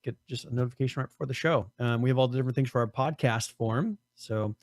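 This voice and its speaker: A man co-hosting a casual gaming podcast, losing his voice, his throat strained and cracking on high notes, rambling with many restarts. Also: fast, no room sound, clean studio sound. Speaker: podcast co-host.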